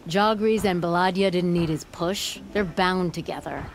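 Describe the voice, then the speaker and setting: Woman playing a low-voiced, tough forge leader. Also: normal speed, a little hiss or hum, lightly acted. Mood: wry. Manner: firm, blunt, folksy. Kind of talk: video game voice acting. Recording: game music behind the voice.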